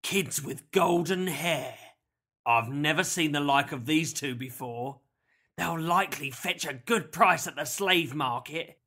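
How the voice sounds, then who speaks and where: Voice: medium pitch, a little slow, bright, forceful tone. A narrator voicing a menacing, skinny grey man who sneers and growls as he gloats in a children's story. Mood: gloating. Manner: sneering, growling, repeats himself in gloating tags.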